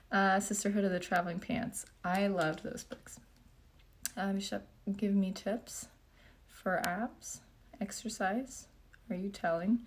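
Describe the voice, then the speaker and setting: Young woman, from Japan, casual livestream chat, relaxed, filler-heavy, reads comments aloud, mid-pitched voice. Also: slow, pauses often, slight background noise. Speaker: beauty vlogger. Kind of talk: casual livestream Q&A while doing makeup.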